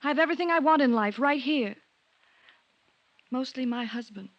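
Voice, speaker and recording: A woman's voice: high voice, moderate pace, muffled recording, lightly acted. A woman playing an anguished, guilt-laden wife in a 1950s radio drama, earnest and melodramatic. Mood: ashamed, defensive. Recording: noisy 1950s radio recording.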